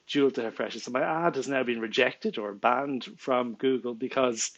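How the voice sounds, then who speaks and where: A man's voice: medium pitch, moderate pace, dull audio. A man in his forties with an Irish accent, an Irish native speaker, venting his frustration straight to camera from a small room at home, stumbling over his words and laughing in disbelief. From Ireland. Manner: exasperated, restarts often, laughs in disbelief, gestures with hands. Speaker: vlogger and small business owner. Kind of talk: indignant direct-to-camera rant.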